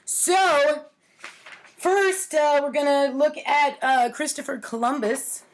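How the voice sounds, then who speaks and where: A woman's voice: sits high, fairly even intonation, slow. A woman in her forties talking casually and playfully in a storytime vlog, with a wry, mock-earnest manner. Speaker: host of a storytime series. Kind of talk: casual comic storytime vlog, commenting on own childhood writings. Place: home kitchen, indoors.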